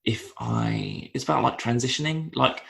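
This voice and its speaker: Gravelly guest, thoughtful and halting. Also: male voice, medium pitch, wildly swooping pitch, a bit bright. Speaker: podcast guest. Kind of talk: casual interview talk.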